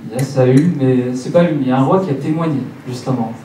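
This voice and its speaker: A man's voice: mid-range voice, quick pace, mellow. A man in his late thirties preaching a church sermon, speaking didactically and repeating phrases for emphasis. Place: church hall, preaching to a congregation. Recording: roomy church, distant mic, faint noise.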